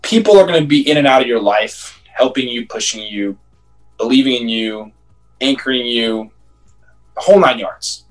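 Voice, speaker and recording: A man at a middle pitch speaks earnestly about success in a casual podcast. He stresses his points with emphatic, measured delivery. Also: soft background music, rough recording. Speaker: podcast co-host. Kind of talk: casual motivational chat.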